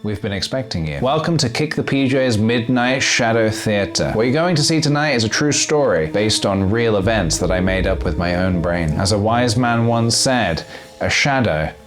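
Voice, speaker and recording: A man's voice: fairly low pitch, animated, moderate pace, music in the background, close to an everyday voice. A man playing an ominous theatrical master of a midnight shadow theater, portentous and mock-sinister. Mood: mock-sinister welcome.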